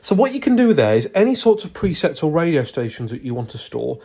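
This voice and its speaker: A man presenting a step-by-step product demonstration, instructing in a halting way as he thinks aloud. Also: fairly high pitch, sing-song, creaky, mellow, husky.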